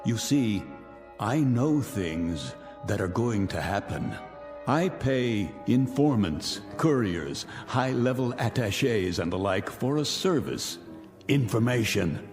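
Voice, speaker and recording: An actor playing an arrogant, vengeful millionaire in a radio drama, speaking in a theatrical, pompous, taunting and articulate way. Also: male voice, mid-range voice, lively intonation, slow, a little mellow. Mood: smug, deliberate. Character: vengeful, arrogant millionaire man holding old enemies captive. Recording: reverberant radio drama with music underneath.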